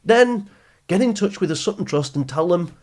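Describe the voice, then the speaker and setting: A man's voice: high voice, animated, normal speed. A man hosting a podcast, speaking to listeners in an encouraging, instructional way with emphatic phrasing. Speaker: podcast host and education speaker. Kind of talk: scripted podcast narration, addressing listeners directly.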